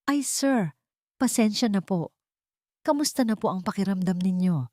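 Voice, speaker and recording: A woman voicing a humble young housemaid who speaks in a soft, respectful, deferential way. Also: mid-range voice, animated, moderate pace, dry recording, clean studio sound, lightly acted. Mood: flustered.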